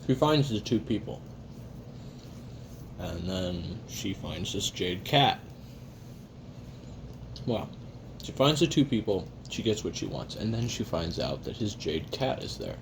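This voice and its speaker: A man playing a weary, jaded assassin who records a private confession in a halting, sardonic, casually profane way while eating. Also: on the low side, big pitch swings, background noise, exaggerated voice. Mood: blunt, irritated. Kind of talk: first-person confessional audio roleplay monologue.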